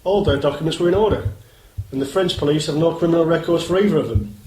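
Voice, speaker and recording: A man playing a polite, methodical and persistent police inspector in a radio play, formal and measured in manner. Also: fairly high pitch, darkish tone, natural voice. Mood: serious, probing. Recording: roomy echo, faint noise.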